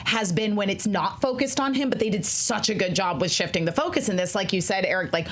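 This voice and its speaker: A woman in her thirties chatting eagerly on a studio panel show, speaking into a desk microphone. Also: medium pitch. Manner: eager, gushing, quick asides. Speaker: panelist on a film news show. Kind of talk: casual panel chat. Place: TV studio set.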